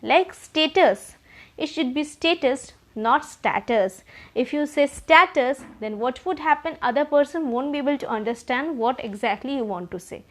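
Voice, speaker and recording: High-voiced Indian woman teaching English. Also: lively intonation, moderate pace, fairly bright tone. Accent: Indian English, Bengali. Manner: earnest, repeats key points, direct advice. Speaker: spoken English trainer. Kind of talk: explanatory lesson to learners.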